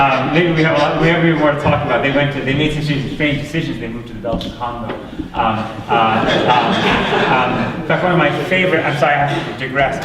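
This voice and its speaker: A man fields audience questions at a university lecture, thoughtful and measured, with fillers and restarts. His medium-pitched voice comes from far off in a very echoey room, under a faint hiss. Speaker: guest speaker taking audience questions. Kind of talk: lecture Q&A answers.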